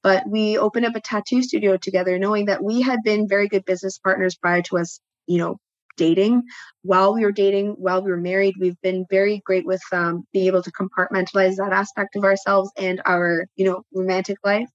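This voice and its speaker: A woman whose first language is French, a guest speaking reflectively with frequent pauses and restarts. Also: mid-range voice, level tone, dry recording, lo-fi sound. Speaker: podcast guest, tattoo studio co-owner. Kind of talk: personal interview talk.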